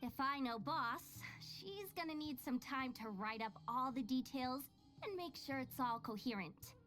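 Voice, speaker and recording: A bubbly young game sidekick with a high voice, devoted and eager. She gushes perkily, with faint music underneath. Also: expressive, unhurried, a bit bright. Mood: upbeat. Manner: gushing, perky. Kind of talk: video game character acting.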